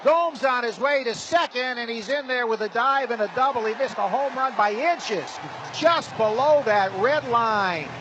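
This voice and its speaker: A man's voice: very high-pitched, a little slow, dull audio. A man doing live play-by-play baseball commentary on the radio in clipped, descriptive phrases. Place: ballpark broadcast booth. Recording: noisy live stadium broadcast. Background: clear stadium ambience.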